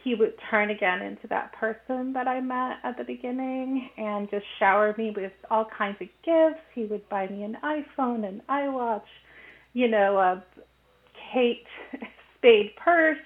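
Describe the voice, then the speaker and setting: A woman's voice: fairly high pitch, a little slow. A woman in her forties from Los Angeles, a podcast guest telling her story hesitantly with many fillers. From Los Angeles, California. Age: 40s. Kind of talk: personal story in a podcast chat. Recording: tinny phone-like line.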